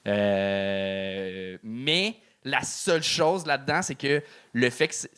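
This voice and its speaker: A man's voice: medium pitch, fairly even intonation, slow, a bit bright, clean tone. A man with a Quebec French accent giving casual feedback as a comedy show host, often searching for words.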